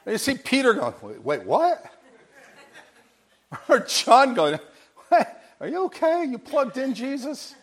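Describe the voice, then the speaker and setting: A man's voice: extremely high pitch, wildly swooping pitch, slow, long pauses. A man preaching a sermon with a big voice, telling a story with energy and acting out bits of dialogue. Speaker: pastor.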